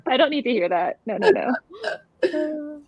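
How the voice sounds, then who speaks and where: A woman's voice: high-pitched, very animated, takes it slowly, no room sound. A woman co-hosting a livestream, joking animatedly with friends over slightly dull call audio. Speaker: livestream co-host. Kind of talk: casual chat among friends. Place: remote video call livestream.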